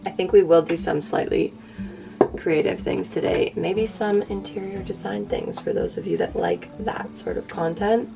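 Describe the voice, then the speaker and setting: A young woman with a raspy voice, rambling casually and self-deprecatingly to the camera as a lifestyle vlogger. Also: medium pitch, lively intonation, normal speed, music in the background.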